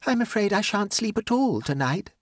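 A man's voice: high-pitched, big pitch swings, moderate pace, no room sound, clean studio sound, pitched above the performer's own voice. A man voicing a touchy, self-pitying young society woman in a storybook, with a plaintive, genteel and defensive manner. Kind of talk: audiobook character dialogue.

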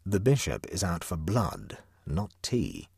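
A man voicing a calm, nonchalant young male prankster who talks in a dry, matter-of-fact way. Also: low-pitched, slow pace, vocal fry, slightly rough, no echo, heavily acted. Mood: cool, nonchalant.

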